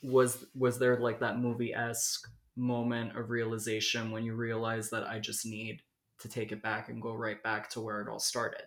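A man's voice with a gravelly edge asks short, curious follow-up questions between quick "mm-hmm"s. He sounds like a casual interview host, and the room adds a noticeable echo. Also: mid-range voice, completely flat delivery, a bit bright. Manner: short backchannels, brief probing questions. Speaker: podcast host. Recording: roomy echo.

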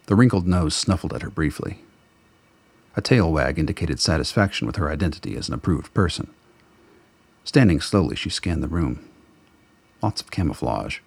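A man with a gravelly, husky voice giving scripted dramatic narration for a radio play. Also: rumbling low, animated, moderate pace, pauses often, no echo.

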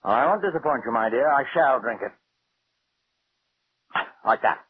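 An actor playing a bitter, taunting wealthy husband resigned to death in a theatrical 1940s radio drama style. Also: male voice, medium pitch, lively intonation, a little hiss or hum, close to an everyday voice. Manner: theatrical, sardonic, deliberate. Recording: muffled old radio recording with music.

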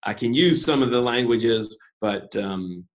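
A man, a pastor, explaining his views in an interview in a measured, methodical way, with frequent uh and um pauses and some self-deprecating humor. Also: mid-range voice.

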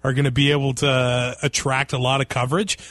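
A man co-hosting a casual sports podcast, talking in a relaxed, halting way with lots of fillers. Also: medium pitch, no room sound. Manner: relaxed, hesitant with many fillers. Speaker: podcast co-host.

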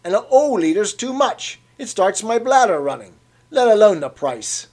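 A grumbling old codger. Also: male voice, high-pitched, very animated, moderate pace, a little mellow, voice pushed up, exaggerated voice. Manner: querulous, pugnacious, dropped aitches. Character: grumbling old London working man, stubborn.

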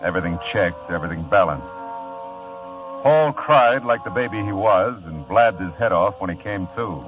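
A world-weary hard-boiled detective. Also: male voice, low-pitched, expressive, normal speed. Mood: wry. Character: hard-boiled male private detective, dry and wry. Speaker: detective lead in a radio play. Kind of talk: 1950s radio acting. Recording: tinny old radio broadcast with music.

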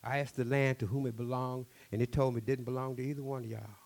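A man voicing a wise village chief in a folk tale, speaking in solemn, measured, rhythmic phrases. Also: mid-range voice, steady tone, gravelly, a little mellow, lightly acted.

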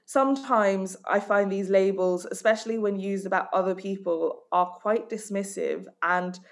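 A woman from Hong Kong speaks as a life coach and author in a podcast interview. She talks directly and with emphasis, reassuring rather than pushing. Her voice sits at an ordinary middle pitch for a woman. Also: moderate pace. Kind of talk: conversational interview answers.

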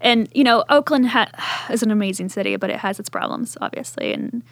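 A woman's voice: fairly high pitch, a little fast, creaky, a bit bright, no echo. A woman in her thirties from Appalachian southern Ohio and later Virginia, telling personal stories casually as an interview guest. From southern Ohio Appalachia, then Richmond, Virginia. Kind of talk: casual conversational storytelling.

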